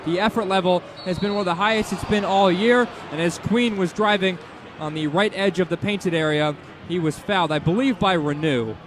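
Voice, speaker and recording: An American man doing animated, excitable live radio play-by-play of a basketball game from an arena. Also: high-pitched, normal speed. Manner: animated, excitable sportscaster delivery. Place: indoor basketball arena broadcast position. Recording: loud arena crowd noise behind voice.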